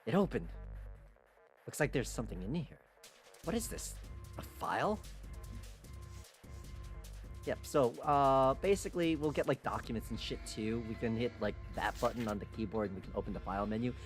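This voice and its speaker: A man playing a game and commentating casually, swearing and getting excitable as he goes. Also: mid-range voice, moderate pace, pauses often. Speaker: streamer, main player. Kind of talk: casual gameplay commentary and dramatic read-aloud. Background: background music.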